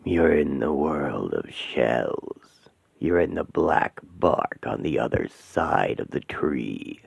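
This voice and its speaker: A whispering demon lord, very deep. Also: male voice, sing-song, gravelly, slightly rough, no echo, heavily acted. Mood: menacing. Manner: slow, whispered, menacing taunts. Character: ancient hateful male demon regent. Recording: tinny phone-like sound.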